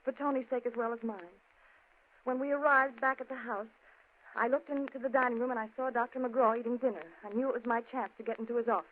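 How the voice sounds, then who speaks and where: A young woman confessing to a murder, as an actress plays her in a 1940s radio drama. She speaks softly and pleads. The sound is thin and tinny like an old radio broadcast. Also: a little high, fairly even intonation, quick pace, a little hiss or hum, lightly acted. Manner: soft pleading, turns tearful.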